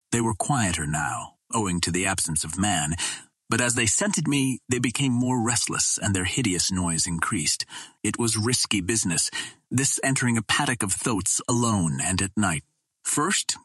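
A man reading an adventure novel aloud as an audiobook narrator, telling the story at a steady, measured pace. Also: on the low side, slightly rough, no echo. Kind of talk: scripted narration.

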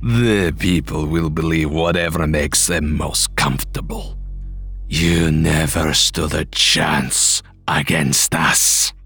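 A man voicing a condescending, calmly menacing male vampire in a horror story, speaking in a deliberate manner. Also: extremely low pitch, breathy edge, soft background music, pitched below the performer's own voice, exaggerated voice. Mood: smug menace. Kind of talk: acted audio horror story.

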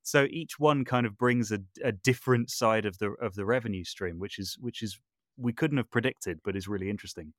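A man's voice: on the low side, brisk, dry recording, clean studio sound. A man talking casually as a podcast guest, explaining things in an informal, conversational way. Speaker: podcast guest, audio drama maker and voice artist. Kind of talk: casual interview talk, explaining.

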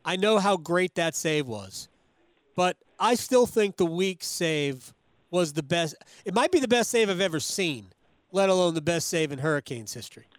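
A man's voice: high voice, expressive, moderate pace, dry recording. A man hosting conversational sports talk, recalling things in an eager, opinionated, rambling way. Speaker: sports show host.

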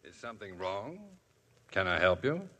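An actor playing a compassionate priest who speaks in a soft, reassuring way in an old radio drama. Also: male voice, sits low, big pitch swings, moderate pace, no echo, full character voice. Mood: gentle. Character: gentle priest, calm and compassionate. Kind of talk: 1950s radio drama acting. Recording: old broadcast, music underneath.

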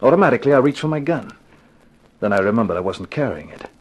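An actor playing a cool, flirtatious, wisecracking private eye in a 1950s radio detective drama, with wry, slangy, clipped delivery. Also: male voice, mid-range voice, lively intonation, fast, husky. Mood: wry, terse. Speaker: actor and first-person narrator. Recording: 1950s radio recording with music behind.

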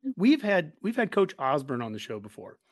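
A man's voice: fairly high pitch, huge pitch swings, a little fast, no room sound, studio quality. A man talking casually and effusively as a sports podcast host, full of enthusiasm for his subject.

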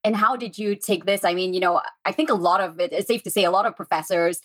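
A woman's voice: fairly low pitch, fast talker. A woman hosting a podcast, introducing and interviewing in a presenter's style. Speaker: podcast host.

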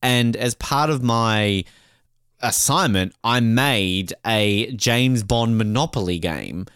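A man's voice: medium pitch, takes it slowly, fairly bright tone, smooth, no room sound, clean studio sound. An Australian man chatting casually as a podcast co-host, in a jokey, rambling, sweary way.

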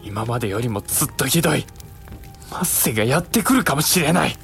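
An actor playing the earnest, outspoken younger brother of a samurai lord, in formal, polite archaic speech with music behind, in a period audio drama. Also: male voice, medium pitch, animated, fairly quick, gravelly, a bit bright. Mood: probing, reproachful.

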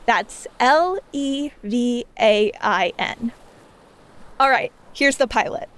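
A woman's voice: on the high side, takes it slowly, fairly bright tone, slight background noise. A woman producer giving a friendly, scripted promo narration as a podcast presenter.

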